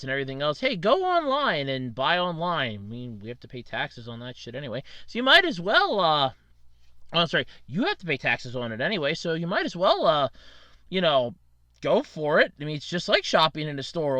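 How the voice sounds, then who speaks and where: A man's voice: fairly high pitch, pushed and bright, smooth, dry recording. A man hosting a casual solo podcast, his throat scratchy from allergies, rambling and correcting himself with little laughs. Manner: rambling, self-correcting, chuckling. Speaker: podcast host.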